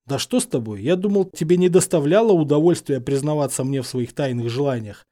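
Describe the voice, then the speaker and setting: A man's voice: on the high side, expressive, brisk, warm tone, natural voice. A man voicing a hot-headed, stubborn teenage wizard boy in an audiobook, blunt and indignant. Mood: baffled, irritated. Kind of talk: dramatised fan fiction audiobook.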